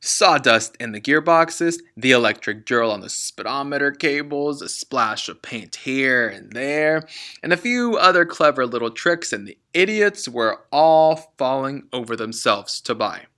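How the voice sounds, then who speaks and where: Man acting out a loud, boastful crooked dad. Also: lively intonation, unhurried. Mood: boastful, gloating. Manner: booming, smug, bossy. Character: loud boastful father, crooked car dealer. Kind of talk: children's storybook read-aloud.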